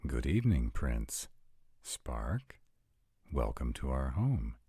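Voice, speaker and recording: A man playing a formal, commanding vampire nobleman in a roleplay audio drama, with a gravelly, husky voice and deliberate phrasing. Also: very deep voice, very animated, warm tone, exaggerated voice. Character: commanding, arrogant male vampire nobles in tense parley.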